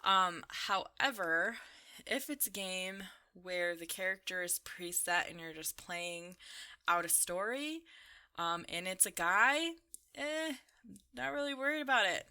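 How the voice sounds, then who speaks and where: A woman's voice: mid-range voice, lively intonation, a little slow, sharp tone, clear, resonant tone. A young woman chatting casually in an informal, rambling, slangy way, like commentary over gameplay. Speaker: gaming video creator. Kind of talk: casual chatty commentary while playing a game.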